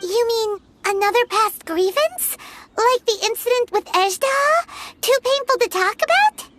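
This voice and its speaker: A woman voicing a tiny childlike fairy companion in a video game, squeaky, chatty, blunt and insistent. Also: lively intonation, unhurried, background noise. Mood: indignant, pleading. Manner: refers to herself by name, blunt, insistent. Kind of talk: video game voice acting, cartoonish. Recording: game music under the voice.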